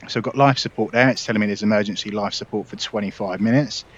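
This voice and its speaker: A man's voice: on the low side, fast, slightly rough, muffled recording. A man hosting a gaming video, casually walking viewers through his ship setup in a chatty, explanatory way with frequent "uh"s and restarts. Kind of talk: casual gameplay walkthrough.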